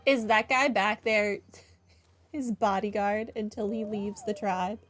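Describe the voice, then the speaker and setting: A woman's voice: mid-range voice, steady tone, slow, fairly bright tone. A woman gamer giving casual playthrough commentary and reading some dialogue aloud. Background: faint game music.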